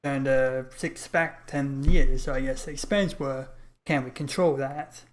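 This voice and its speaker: A man's voice: mid-range voice, fairly even intonation, moderate pace. A man streaming a game, giving casual reaction commentary and thinking aloud with fillers.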